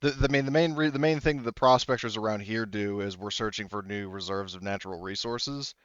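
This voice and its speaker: Low, clear-voiced man, rambling game master. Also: a little fast, fairly bright tone, dry recording, highs cut off. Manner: rambling and hesitant, often backtracking. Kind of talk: narrating a tabletop roleplaying game.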